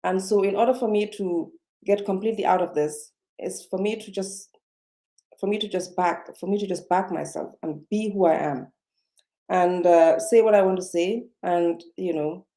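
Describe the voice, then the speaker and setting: Woman, non-native English, seated vlog to webcam, low mellow tone, halting with lots of ums. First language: not English. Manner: hesitant, many fillers and restarts. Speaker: self-help vlogger. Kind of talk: unscripted talk to camera. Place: indoors, seated. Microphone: webcam or computer mic.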